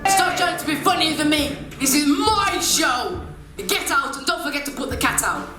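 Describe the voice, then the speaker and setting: A woman's voice: high voice, animated, moderate pace. A teenage girl playing a gloating, bossy evil queen in a stage pantomime. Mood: scornful. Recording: echoey stage hall, music and audience.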